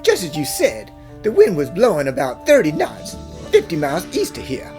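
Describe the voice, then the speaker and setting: A woman voicing a brisk, self-assured bank executive in a cartoon fan dub, with a businesslike yet theatrical delivery. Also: fairly low pitch, wildly swooping pitch, a little mellow, faint music behind. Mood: brisk, relieved. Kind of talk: animated mystery fan dub acting.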